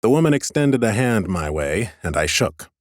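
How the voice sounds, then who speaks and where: A man narrating a first-person fantasy audiobook in a measured storytelling manner, slipping into dialogue. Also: fairly low pitch, sing-song, no echo, clean studio sound.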